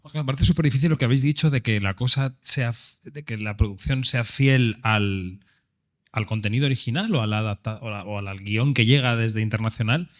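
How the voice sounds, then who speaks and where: A man's voice: mid-range voice, brisk. A man with a Spain Spanish accent moderating a festival panel and asking questions in a friendly, conversational way. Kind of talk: hosting a panel discussion.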